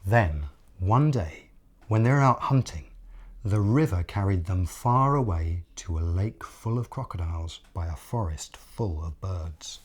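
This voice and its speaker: A man reading a picture book aloud as an expressive children's storyteller, deliberate, with dramatic pauses. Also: deep voice, husky.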